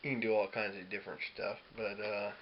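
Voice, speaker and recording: A man's voice: fairly low pitch, level tone, a little fast. A man giving a casual, hesitant how-to demo as a musician, explaining things informally and loosely. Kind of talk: casual instructional demo talk. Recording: dull lo-fi audio with clear hiss.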